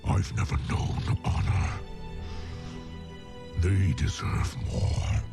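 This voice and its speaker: An actor playing a weary, grizzled old orc warrior, bitter and solemn, with a gravelly growl in a game cinematic. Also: male voice, very slow. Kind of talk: dramatic game cinematic acting. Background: cinematic music.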